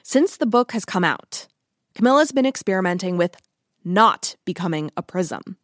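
A woman hosting a conversational podcast interview, phrasing her thoughts in a thoughtful, measured way. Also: medium pitch, animated, dry recording, clean studio sound.

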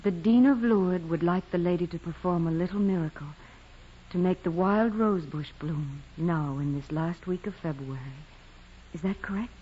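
A woman's voice: fairly low pitch, moderate pace, dark tone, a little hiss or hum, close to an everyday voice. An actress playing a humble, devout young peasant girl in an old radio drama, earnest, simple and respectful. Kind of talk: 1940s radio drama acting. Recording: dull old radio recording.

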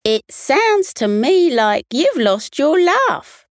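Woman as a bold, slow-spoken boy wallaby. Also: sits high, sing-song, no echo, clean studio sound, voice pushed up, heavily acted. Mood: reassuring, bold. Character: bold, stubborn, bouncy male wallaby friend.

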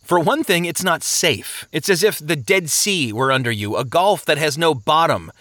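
Man acting an earnest, high-pitched, urgent pilgrim. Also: expressive, normal speed, fairly bright tone, dry recording, clean studio sound. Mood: urgent warning. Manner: earnest, insistent, preachy. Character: earnest, anxious male pilgrim, urgently warning others. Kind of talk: audiobook character voice.

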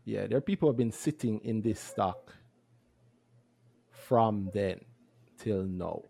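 A man co-hosting a podcast, talking about stocks in a lively, playful, self-mocking way. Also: on the low side, slow pace, vocal fry, breathy edge. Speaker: podcast co-host. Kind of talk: casual chat about stocks.

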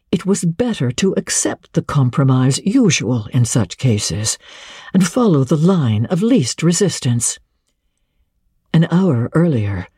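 A woman reads literary fiction in a husky, dark-toned voice. Her delivery is measured and dramatic, with lively rises and falls. The recording is dry and close. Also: low-pitched, dry recording. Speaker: audiobook narrator.

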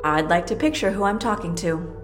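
A woman's voice: medium pitch, expressive, fairly quick, over music, close to an everyday voice. A woman playing a wry, inquisitive woman stuck in a shelter in an audio drama, direct and dryly exasperated. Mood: wry, curious.

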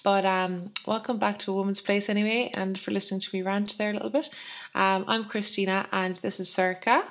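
A hoarse woman co-hosting a podcast, chatty and rambling, poking fun at herself. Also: mid-range voice, little pitch movement, a little fast, a bit bright. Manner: chatty, rambling, self-deprecating. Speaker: podcast co-host. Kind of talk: casual podcast chat.

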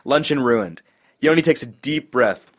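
A man's voice: mid-range voice, lively intonation, a little slow. A man narrating stage directions at a comedy table read in a dry, clipped way.

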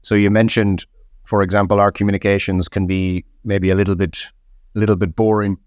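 A man giving measured interview answers, his voice slightly husky from a cold. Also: on the low side, level tone, darkish tone, dry recording. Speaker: guest, head of a hunting federation.

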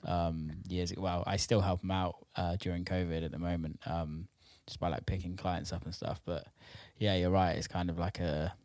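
A man's voice: low voice, flat delivery, moderate pace, hardly any pauses, darkish tone, no echo. A man hosting an interview show, chatting in an informal, slangy way. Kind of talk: casual conversational interview.

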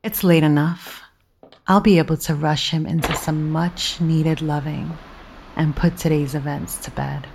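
Woman acting a low-voiced scheming seductress. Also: fairly even intonation, unhurried, gravelly, noisy background. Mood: calculating. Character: scheming seductress talking to herself. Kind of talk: audio drama acting. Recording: loud music over the voice.

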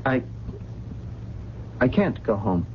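A well-bred, bewildered man, with a medium pitch and halting, formal, earnest speech. It is vintage-style radio drama with a muffled band and faint noise. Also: big pitch swings. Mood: pleading. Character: polite, bewildered well-bred man who has lost his memory. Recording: dull, noisy vintage radio broadcast.

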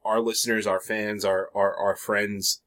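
A man's voice: lowish voice, little pitch movement, a little slow, a bit bright. Jokey male podcast co-host. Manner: enthusiastic, jokey banter. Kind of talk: casual podcast chat.

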